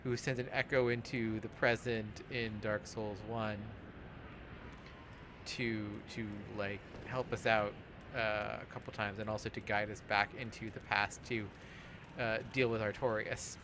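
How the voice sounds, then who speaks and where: Man giving halting game commentary, noisy background. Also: lowish voice, little pitch movement. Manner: halting, explanatory, repeats words. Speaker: let's play podcast host. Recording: clear background noise and game ambience.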